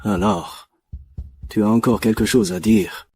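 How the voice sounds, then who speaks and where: A man voicing a cold, ruthless cat gang boss in a dubbed animated series, commanding and menacing. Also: lowish voice, measured pace, close to an everyday voice. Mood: cold, threatening.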